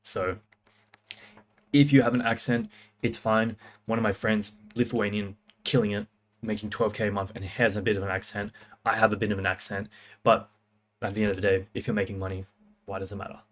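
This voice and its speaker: A man's voice: on the low side, little pitch movement, fairly quick, long pauses. A young man giving casual, confident sales-career advice in a punchy, slangy way. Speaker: music producer and sales coach. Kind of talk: casual talking-head advice.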